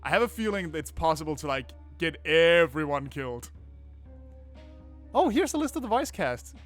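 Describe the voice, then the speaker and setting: Higher-voiced man doing sweary game commentary. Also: normal speed. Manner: casual, sweary, expressive. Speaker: gaming video host. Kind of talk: game playthrough commentary, reading narration aloud. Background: faint game music.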